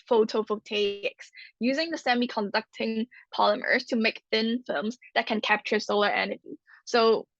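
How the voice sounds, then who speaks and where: Young woman from Hong Kong, fairly high voice, chatty, dry dull lo-fi recording. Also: fairly bright tone, no echo. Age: young adult. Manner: chatty, many "like" fillers. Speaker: podcast co-host. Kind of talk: casual podcast chat.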